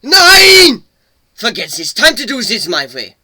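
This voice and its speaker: A man's voice: extremely high pitch, huge pitch swings, takes it slowly, bright, forceful tone, no echo, pitched above the performer's own voice, exaggerated voice. A man voicing a jumpy, sardonic male inventor adventurer in a dramatic fantasy story reading. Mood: alarmed.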